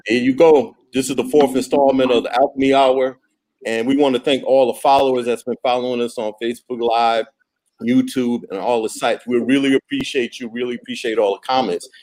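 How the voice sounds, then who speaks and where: A man's voice: medium pitch, fairly even intonation, brisk, a little mellow, rough recording. A man hosting a podcast, talking in an enthusiastic, slangy, casual way. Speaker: podcast host.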